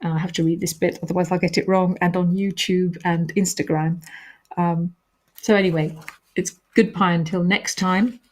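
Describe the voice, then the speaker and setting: A woman with a calming voice hosting a live question-and-answer stream, reading questions aloud and answering them with gentle hesitations. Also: fairly low pitch, normal speed. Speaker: author hosting a live reader Q&A.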